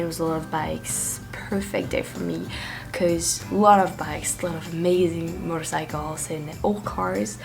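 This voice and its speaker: A young French woman vlogging her travels in casual chat, slightly hoarse from a cold and full of fillers and restarts. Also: lowish voice, a little mellow. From France. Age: young adult. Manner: chatty, with many fillers and restarts.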